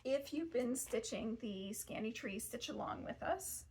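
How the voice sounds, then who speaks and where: A woman's voice: a little high, lively intonation, measured pace, a little mellow, slight background noise. A woman in her thirties presenting gift ideas in a fabric shop, chatty and self-deprecating with casual asides. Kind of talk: casual product showcase. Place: fabric shop in front of fabric shelves.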